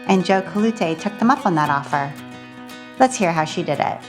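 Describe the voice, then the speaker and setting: Fairly low-voiced woman hosting a podcast. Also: lively intonation, moderate pace, music in the background. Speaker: podcast host. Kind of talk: scripted intro then interview questions.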